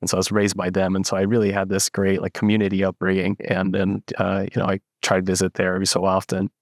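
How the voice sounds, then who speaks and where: A young man from the Philippines, a startup founder, telling his story in a relaxed interview with lots of fillers and restarts. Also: deep voice, quick pace, no room sound, studio quality. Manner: reflective, many fillers and restarts. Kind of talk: casual interview.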